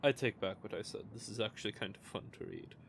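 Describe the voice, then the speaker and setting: A man's voice: lowish voice, fast talker, vocal fry, fairly bright tone, a little hiss or hum. A man playing a smug, teasing male vampire who is playfully menacing, in an audio roleplay.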